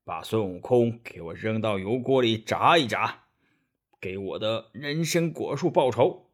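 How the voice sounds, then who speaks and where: Man playing old Taoist immortal, stern, husky, slow commanding delivery, angry, storybook villain. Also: fairly low pitch, animated. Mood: vengeful.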